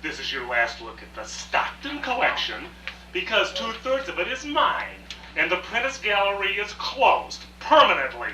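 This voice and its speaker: A man in a radio play rehearsal playing a brash, bitter art dealer, loud, sarcastic and mocking. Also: high voice, wildly swooping pitch, normal speed, slight background noise, exaggerated voice. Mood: contemptuous anger. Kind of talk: live radio play acting. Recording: roomy, distant, music behind, old rehearsal tape.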